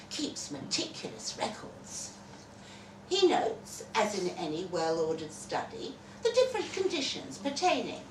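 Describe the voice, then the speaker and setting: A woman reading a literary short story to a live audience in a theatrical, wry and deliberate way. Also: mid-range voice. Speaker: reader performing a short story at a festival. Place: live festival reading in a roomy indoor space. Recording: roomy live event recording, distant mic, faint noise.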